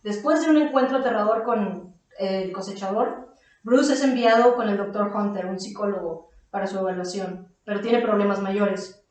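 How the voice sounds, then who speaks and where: A woman's voice: mid-range voice, normal speed, darkish tone. A woman with a Mexican accent co-hosting a podcast, chatting casually about comics and audio drama. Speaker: podcast co-host. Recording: very echoey room, far from mic.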